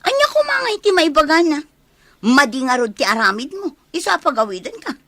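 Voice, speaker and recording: A woman's voice: high-pitched, very animated, brisk, pushed and bright, no room sound, exaggerated voice. A woman playing a bossy, haughty young woman in an Ilocano radio drama, threatening in manner.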